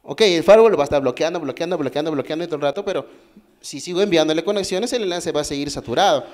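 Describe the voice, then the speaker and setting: A man's voice: on the high side, racing, a little mellow. A man with a Peruvian accent giving a technical talk at a conference, explaining step by step, asking the audience questions and often restarting his sentences. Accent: Peruvian Spanish. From Peru. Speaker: conference speaker. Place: conference hall.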